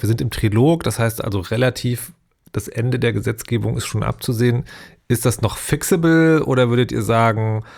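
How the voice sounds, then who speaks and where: A man's voice: mid-range voice, gravelly, darkish tone. A male podcast host asks follow-up questions, stumbling through restarts before landing on his point. He has a mid-range voice for a man and keeps a light, joking manner. His delivery is conversational and unpolished. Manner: inquisitive, hesitant restarts, joking. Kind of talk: podcast discussion.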